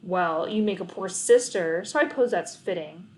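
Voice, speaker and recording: A woman's voice: fairly low pitch, moderate pace, a little mellow, lightly acted, low-quality recording. A woman voicing a cheeky, sheepish schoolboy brother from a storybook, with a wry, casual manner. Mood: needling.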